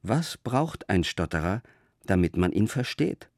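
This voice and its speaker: Low-voiced man giving a scripted reflective sermon. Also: big pitch swings, a little slow, a little mellow, dry recording, clean studio sound. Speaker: preacher hosting a religious radio talk.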